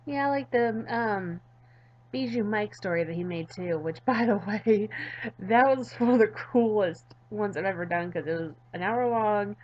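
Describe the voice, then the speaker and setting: A woman's voice: medium pitch, a little slow, dull audio. A woman hosting a gaming channel with casual, chatty, giggly and enthusiastic playthrough commentary.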